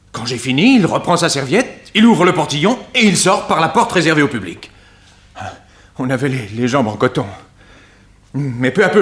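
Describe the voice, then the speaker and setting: An actor playing a plain-spoken, shaken office clerk giving an account in a French radio drama. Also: male voice, fairly high pitch, a bit bright. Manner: storytelling, matter-of-fact. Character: shaken but plain-spoken male clerk recounting events.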